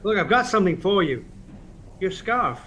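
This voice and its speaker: A man playing a kind, concerned, slightly awkward friend in an audio play, gentle and hesitant. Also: sits high, sing-song, normal speed. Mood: concerned, tender. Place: recorded at home during lockdown. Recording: muffled, noisy home recording.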